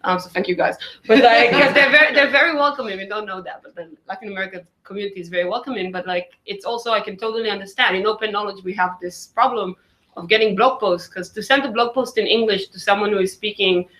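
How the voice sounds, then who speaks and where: A woman's voice: medium pitch, quick pace, pushed and bright, low-quality recording. A woman whose first language is Hebrew, speaking casually on a discussion panel with many "like" fillers.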